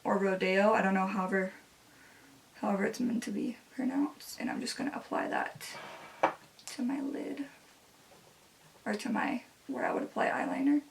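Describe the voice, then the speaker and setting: A relaxed young woman in her twenties, a native Spanish speaker, chats easily about her life while she does her makeup at home. She fills her sentences with "like", and her voice sits at an ordinary middle pitch. The camera mic sits a little away from her. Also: normal speed, gravelly, long pauses, far from the mic, a little hiss or hum, low-quality recording. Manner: chatty, relaxed, many "like" fillers. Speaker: beauty vlogger. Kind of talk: casual chat while doing makeup.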